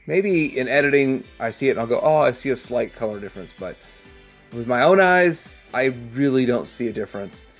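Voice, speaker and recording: Guy doing a toy review vid, average pitch, rambly, thinking out loud, music behind him. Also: lively intonation, a little fast. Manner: rambling, thinking aloud as he handles things. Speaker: toy review channel host. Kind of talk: casual hands-on product review.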